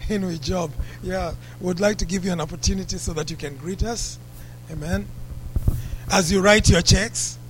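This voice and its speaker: A man's voice: high-pitched, animated, slow, fairly bright tone, a little hiss or hum, rough recording. A man hosting a church service, greeting and introducing visitors in an upbeat way. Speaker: service host.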